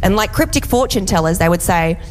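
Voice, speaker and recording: A woman performing a stand-up comedy set, telling a story in a dry, deadpan way. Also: mid-range voice, a little fast, long reverb tail, faint music behind. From Australia.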